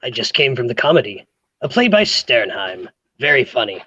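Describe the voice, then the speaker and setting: Woman doing a husky nosy motherly neighbour, very theatrical and animated, prying about romance, dull lofi audio. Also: a bass voice, normal speed, dry recording, heavily acted. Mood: eager, prying. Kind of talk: comic play table read. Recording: dull lo-fi, close dry sound.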